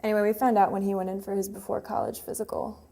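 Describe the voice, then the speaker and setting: A woman's voice: mid-range voice, little pitch movement, fast, dark tone, lightly acted. An actress playing a grieving young woman remembering her lost sweetheart in a hesitant, emotional, confessional monologue. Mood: sorrowful. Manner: hesitant, emotional, with trailing phrases. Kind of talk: dramatic monologue, confessional and conversational. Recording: clearly noisy room ambience.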